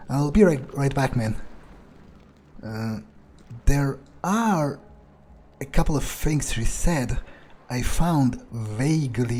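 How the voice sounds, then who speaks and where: A man's voice: mid-range voice, mellow, husky. A man improvising in-character video game commentary, thinking aloud in a musing, hesitant way and swearing freely. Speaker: let's player role-playing in character.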